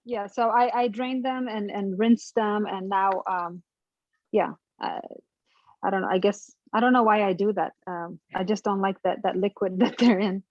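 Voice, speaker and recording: A woman's voice: mid-range voice, fairly even intonation, normal speed, dry recording, lo-fi sound. A young woman with an Afghan accent, chatting hesitantly and laughing as she walks through cooking a family dish. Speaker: guest cook. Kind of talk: casual cooking demonstration.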